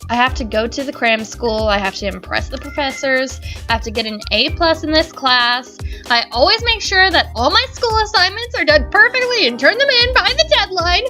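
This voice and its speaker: A woman's voice: high voice, animated, normal speed, hardly any pauses, bright, forceful tone, soft background music. A young woman narrating a visual novel as a let's play host, reading dramatically and dropping sarcastic quips. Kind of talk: reading game text aloud with wry asides.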